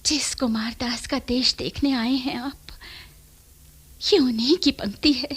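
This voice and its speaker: A refined sad noble lady in a radio drama, high voice, formal, slow and drawn out. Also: fairly even intonation, slightly rough. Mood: wistful, moved. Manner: poised, formal, theatrical. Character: refined, melancholy noble lady longing to marry a king. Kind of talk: classical Hindi radio play acting.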